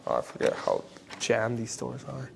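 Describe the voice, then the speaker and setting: A man's voice: mid-range voice, wildly swooping pitch, normal speed, vocal fry, background noise. Man, chatty real estate vlogger, upbeat. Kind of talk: casual on-location vlog. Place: house being shown, indoors.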